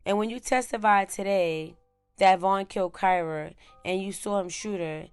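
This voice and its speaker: A woman's voice: medium pitch, moderate pace, clean tone, soft background music. A woman questioning a witness in court as a prosecutor, in a formal and methodical way.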